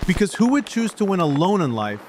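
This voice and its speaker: A man delivers an upbeat promotional pitch that slides into half-singing. His voice is fairly high and very lively. A loud hip hop beat plays under him. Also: brisk, music in the background. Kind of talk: promotional ad voice-over.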